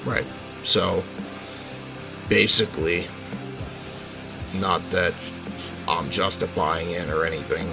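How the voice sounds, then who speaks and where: A brooding, bullied teenage son played by a man in an audio drama. His voice is very deep and creaky, and he explains himself in halting, earnest phrases, defensive and protective of his family, with music underneath. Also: exaggerated voice. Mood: defiant, protective. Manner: halting, hesitant, earnest confession. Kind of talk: amateur audio drama roleplay.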